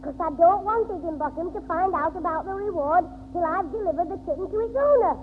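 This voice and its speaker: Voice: very high-pitched, animated, fairly quick, phone-quality sound. Impatient Northern English schoolboy, piping and wisecracking, 1960s radio comedy, thin and very noisy recording. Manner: exasperated, sarcastic wisecracking. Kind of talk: 1960s British radio sitcom acting.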